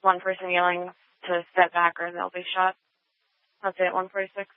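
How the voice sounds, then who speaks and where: A man's voice: high voice, monotone, normal speed, clear, resonant tone, no room sound. A man working as a police dispatcher, relaying terse, clipped, procedural messages over the radio. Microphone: radio dispatch microphone. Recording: tinny, phone-like police scanner audio.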